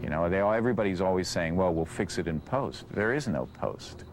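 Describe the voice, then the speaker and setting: Low-voiced man in casual interview. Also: lively intonation, brisk, a little hiss or hum.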